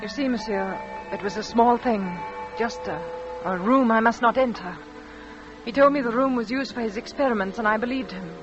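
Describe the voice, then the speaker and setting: A woman acting a devoted young wife living in dread, in 1940s radio drama. Her voice is on the high side, hesitant and dramatic. The sound is dull, with music clearly behind it. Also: moderate pace, natural voice. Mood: haunted, confiding. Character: anxious, devoted young wife haunted by fear. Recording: dull old radio recording.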